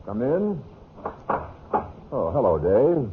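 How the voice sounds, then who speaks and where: A man's voice: medium pitch, sing-song, slow, narrow, tinny audio. An actor playing an earnest businessman talking to his partner in old-time radio drama style. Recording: hissy, band-limited vintage radio recording.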